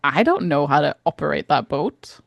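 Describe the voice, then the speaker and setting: A woman's voice: sits low, animated, normal speed, creaky, no echo. A woman co-hosting a podcast, talking in a casual, conversational way. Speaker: podcast co-host. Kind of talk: casual chat.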